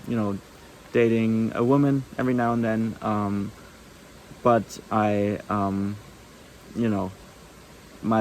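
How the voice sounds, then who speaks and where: A man's voice: lowish voice, a little hiss or hum, poor audio. A young man with a German accent, a German native speaker, talking casually to camera with many ums and restarts. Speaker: vlogger.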